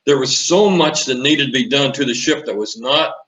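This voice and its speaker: Elderly man, bright voice, echoey sound. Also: medium pitch, moderate pace, lo-fi sound. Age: 80s. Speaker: interview guest, retired naval commanding officer. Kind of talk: conversational reminiscence.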